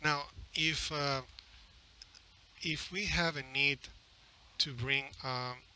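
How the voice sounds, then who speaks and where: A middle-aged man conducting an informal interview in an earnest, halting way. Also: fairly high pitch, steady tone, pushed and bright, clear, resonant tone, poor audio. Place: outdoors under a large canopy. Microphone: headset.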